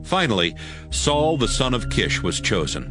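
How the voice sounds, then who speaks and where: A man narrating a dramatized audio Bible in a measured, expressive storytelling manner. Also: mid-range voice. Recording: faint music behind the voice.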